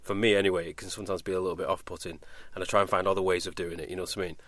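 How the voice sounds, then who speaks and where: Male podcast host, lowish voice, rapid and informal delivery, rambling casual chat, dry recording. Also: flat delivery, fairly bright tone, no room sound.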